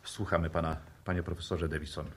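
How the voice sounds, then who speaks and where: An actor playing a conciliatory, puzzled professor in a 1960s radio drama, with a husky voice. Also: male voice, sits low, little pitch movement, quick pace, slight background noise. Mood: placating.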